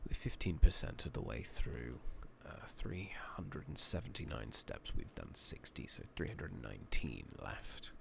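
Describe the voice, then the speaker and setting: Very deep, gravelly man, softly spoken. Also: sing-song, measured pace, few pauses, in a whisper. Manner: musing to himself, reading game text aloud. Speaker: ASMR gaming streamer. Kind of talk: soft-spoken, whispered ASMR game playthrough. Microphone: close makeshift replacement microphone. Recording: noisy makeshift microphone, clicking.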